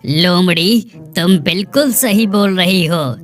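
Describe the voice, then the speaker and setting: A woman's voice: lowish voice, takes it slowly, soft background music. A woman voicing a stern, vengeful leopard king of the jungle in a cartoon moral story, speaking with a commanding manner. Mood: remorseful, vengeful.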